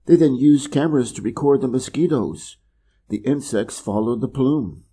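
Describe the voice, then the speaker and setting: A man from Wisconsin with an American Midwest accent, reading an article slowly and carefully for English learners. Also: medium pitch, mellow. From Wisconsin, USA. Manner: measured and deliberate, clearly pronounced. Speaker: reader of an English learning channel. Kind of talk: slow, careful reading aloud.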